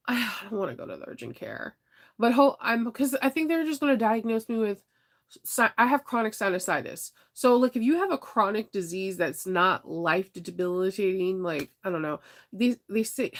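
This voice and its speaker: A woman's voice: medium pitch, creaky. A woman vlogger chatting casually with viewers, laughing often and sounding stuffed up from congestion. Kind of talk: casual chat.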